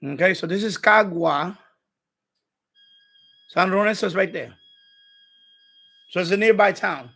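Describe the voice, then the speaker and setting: A man's voice: high voice, a little slow, pauses often, fairly bright tone. A middle-aged man from Puerto Rico chatting casually on a livestream, rambling and often repeating himself. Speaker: live streamer, radio hobbyist.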